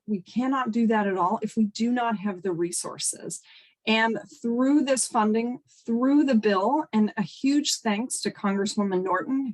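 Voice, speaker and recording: A woman's voice: medium pitch, low-quality recording. A woman official delivering prepared remarks over a video call. She sounds a little sniffly from a cold. Her tone is eager and upbeat, with frequent uh and um fillers. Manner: earnest, upbeat, fills pauses with uh and um. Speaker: transportation agency official. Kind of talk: prepared remarks at a virtual town hall.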